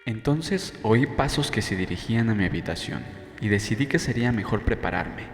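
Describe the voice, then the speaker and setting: A man with a lowish voice narrates a novel in a measured, dramatic way. The sound is roomy with a long reverb, and faint music plays underneath. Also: expressive. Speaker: audiobook narrator. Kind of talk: scripted first-person novel narration.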